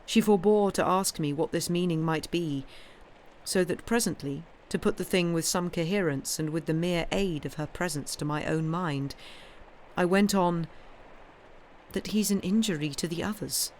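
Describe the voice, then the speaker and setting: A woman reading a classic novel aloud in an expressive, literary narrating style. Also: lowish voice, normal speed. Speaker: reader, streamer. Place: live stream. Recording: clearly noisy background.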